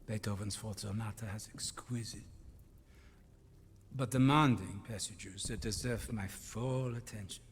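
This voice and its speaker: A man performs a sadistic, cultured officer for a war game. His lowish, voice moves slowly and swoops with mock courtesy and menace, ringing in a long hall-like reverb. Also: slight background noise, lightly acted. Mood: haughty disdain. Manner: slow, theatrical, mock-polite menace. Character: sadistic, cultured, mocking German officer interrogating prisoners. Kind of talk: cinematic war game acting.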